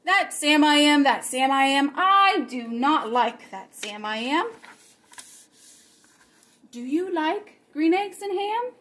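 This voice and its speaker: A woman preschool teacher reading a children's storybook aloud and chatting to her young audience in a playful, exaggerated, expressive way. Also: sits high, takes it slowly. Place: home kitchen, indoors.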